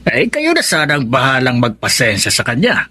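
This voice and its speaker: An actor playing a kindly, concerned older father-in-law in a Filipino radio drama, with a husky voice. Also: mid-range voice, huge pitch swings, brisk, pushed and bright, exaggerated voice.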